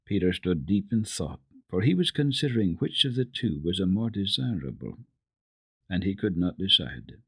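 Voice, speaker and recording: A man with a fairly low voice narrates a children's storybook for radio. His delivery is deliberate and dramatic, with highly animated intonation. The sound is close and dry. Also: slightly rough, dry recording. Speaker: host reading children's stories on radio.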